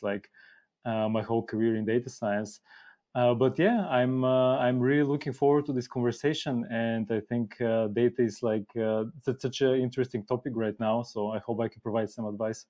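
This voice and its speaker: A man's voice: mid-range voice, steady tone, brisk, warm tone. A man from Bulgaria who speaks natively, a podcast guest talking casually with many fillers. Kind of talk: casual interview.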